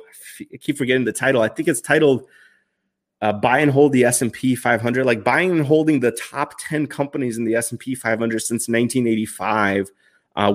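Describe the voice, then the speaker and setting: A man in his thirties hosting a live stream, answering viewer questions in a chatty, upbeat way with lots of fillers and restarts. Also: medium pitch. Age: 30s. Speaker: finance livestream host.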